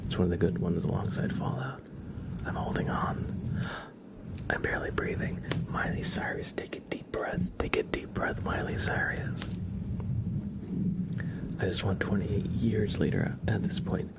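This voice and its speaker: Low, creaky, half-whispering ASMR man. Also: a little slow, long pauses. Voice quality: soft, breathy half-whisper. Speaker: livestream host reading chat. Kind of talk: ASMR livestream, casual rambling chat.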